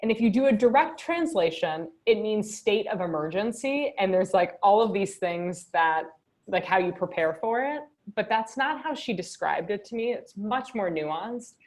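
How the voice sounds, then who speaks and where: A woman's voice: medium pitch, lively intonation, moderate pace, a little mellow. A woman leading a journaling workshop over a video call, upbeat and chatty, often asking "right?". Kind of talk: guided reflection on a video call.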